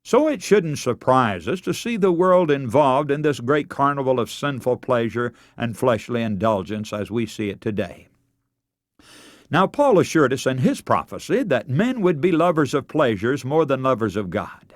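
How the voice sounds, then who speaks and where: A man's voice: medium pitch, sing-song, moderate pace, dry recording, studio quality. A man with an American accent delivering a scripted radio sermon in a formal, emphatic, oratorical way. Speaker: radio preacher and broadcast host.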